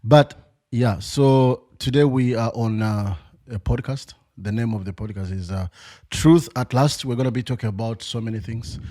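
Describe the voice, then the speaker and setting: A man's voice: medium pitch, normal speed, hardly any pauses, dark tone. A young man hosting a casual podcast, English not his first language, asking follow-up questions and laughing often. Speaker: podcast host.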